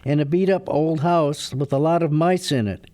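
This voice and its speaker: A man's voice: on the high side, moderate pace, mellow, close to an everyday voice. A man acting a feisty, stubborn lawyer son in a radio drama, with an argumentative, sardonic way of talking. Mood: combative.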